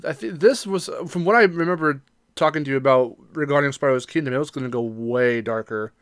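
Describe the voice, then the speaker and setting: A man co-hosting a casual podcast discussion, talking in a halting, reflective way and hedging his opinions. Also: mid-range voice, clean tone, dry recording, clean studio sound. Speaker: podcast co-host.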